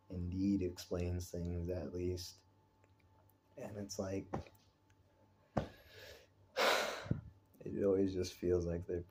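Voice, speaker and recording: A man recording a casual personal vlog, talking in a rambling, introspective, halting way. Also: low-pitched, a little mellow, slight background noise. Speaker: vlogger.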